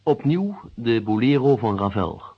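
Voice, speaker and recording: A man formally and deliberately reading the closing credits of a radio play as its announcer. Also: medium pitch, big pitch swings, breathy edge. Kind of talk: formal scripted broadcast announcement. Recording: dull, muffled old radio recording.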